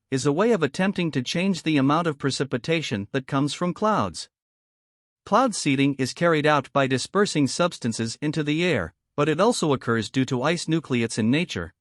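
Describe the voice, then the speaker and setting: A man reading a scripted explanatory practice passage aloud in a measured way. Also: a little high, no room sound, clean studio sound. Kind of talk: scripted read-aloud exam practice narration.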